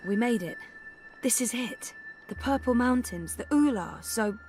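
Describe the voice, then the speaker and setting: A woman's voice: on the high side, lively intonation, a little slow, over music. A woman playing a young adventurer in a video game, thoughtful and determined, with a sincere, understated, natural delivery. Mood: awed. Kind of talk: video game character acting.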